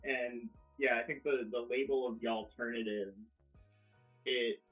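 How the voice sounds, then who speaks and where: A man's voice: medium pitch, fairly even intonation, a little slow. Brief: male band member, hesitant, phone-like lo-fi audio, faint music. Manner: hesitant, lots of restarts. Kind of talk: casual interview chat.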